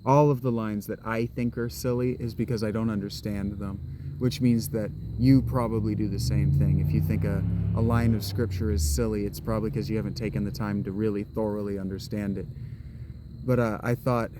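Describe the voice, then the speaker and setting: A man's voice: on the low side, steady tone, brisk, heavy background noise. A man talking to his camera on a walk in public, rambling and restarting his sentences as he muses aloud. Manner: rambling and restarting, drifting into musing. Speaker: vlogger. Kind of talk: rambling spoken vlog monologue. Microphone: camera held while walking.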